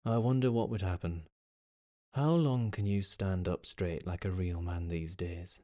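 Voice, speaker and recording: A man's voice: deep voice, a little slow, dark tone. A haughty military officer in a fantasy audiobook, acted out by a male reader. He is pompous and commanding, impatient with inferiors, and speaks with sneering sarcasm. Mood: contemptuous. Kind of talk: dramatic audiobook character voice.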